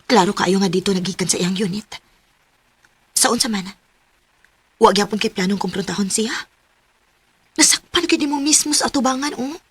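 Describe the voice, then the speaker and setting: A woman's voice: mid-range voice, moderate pace, pauses often, slightly rough, close to an everyday voice. An actress playing a frank, protective woman confidante in a radio drama, speaking insistently with a Cebuano Filipino accent. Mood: exasperated. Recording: faintly noisy radio drama track.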